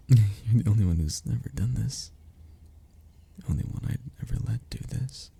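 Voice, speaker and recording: Husky, lovesick young man voiced by an actor. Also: on the low side, mellow, heavily acted. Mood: tender, disbelieving. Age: young adult. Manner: halting, emotional delivery with sighs and breathy murmurs. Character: lovesick, anxious young man confessing to childhood best friend. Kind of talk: intimate romantic audio roleplay.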